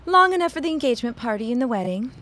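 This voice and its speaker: A woman's voice: a little high, sing-song, very fast, pushed and bright. A woman playing a poised, guarded bride-to-be in a period audio drama, speaking formally with dry wit. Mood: wry.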